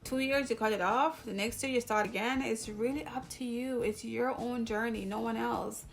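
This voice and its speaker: A woman's voice: fairly high pitch, fairly even intonation, normal speed, creaky. A woman from Jamaica with a Jamaican accent, talking casually to her viewers as a video host, rambling and interrupting herself. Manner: chatty, rambling, self-interrupting. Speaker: YouTube host. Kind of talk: casual talk to camera.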